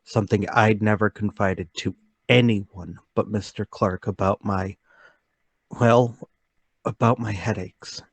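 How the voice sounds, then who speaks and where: A man portrays a troubled yet determined accused man in a mystery radio play. His voice sits fairly low and sounds dry and close, with earnest, deliberate phrasing. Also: steady tone, dry recording. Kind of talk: radio play table read.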